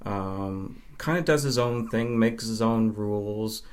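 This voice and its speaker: A man with a fairly low voice talks as a guest on a podcast. He explains things conversationally, in measured phrases broken by pauses. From Nova Scotia, Canada. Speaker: podcast guest, film fan. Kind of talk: conversational interview answers.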